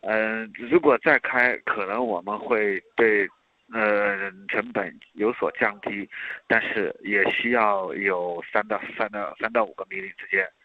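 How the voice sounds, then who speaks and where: Low-voiced man on a tinny phone. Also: animated, measured pace, creaky, no room sound. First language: Mandarin Chinese. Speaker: company executive. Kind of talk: answering on a conference call. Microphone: telephone. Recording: dry, tinny narrow phone line.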